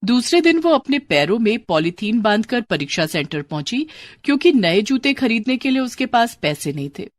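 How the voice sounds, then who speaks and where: A woman narrating scripted moral stories in an expressive storytelling style. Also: a little high, normal speed, no room sound.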